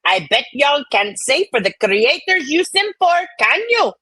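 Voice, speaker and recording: A woman's voice: high voice, sing-song, unhurried, sharp tone, no room sound, studio quality, voice pushed up, exaggerated voice. Woman putting on a brash, indignant Southern belle voice. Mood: indignant, sassy. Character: brash, sassy Southern woman ranting at haters.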